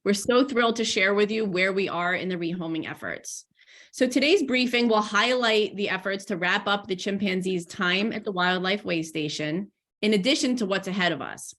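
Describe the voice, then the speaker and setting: A woman's voice: mid-range voice, normal speed, a bit bright. A woman hosting a video-call briefing as program director, a little stuffy from a cold, upbeat with small restarts.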